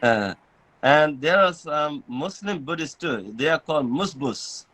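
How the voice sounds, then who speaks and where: A man's voice: fairly high pitch, expressive, measured pace, a bit bright, slight background noise, poor audio. A man who is a Buddhist monk, born in Bangladesh, talking casually with many filler sounds and chuckling at his own jokes. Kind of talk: conversational talk with fillers.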